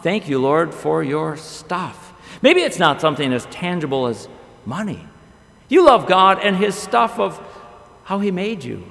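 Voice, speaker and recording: Middle-aged male pastor, slightly high and gravelly, animated storyteller, podium mic in an echoey chapel. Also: a little slow. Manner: animated storytelling with wide gestures and rhetorical questions. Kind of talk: chapel sermon. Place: large chapel with long reverb. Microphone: podium microphone.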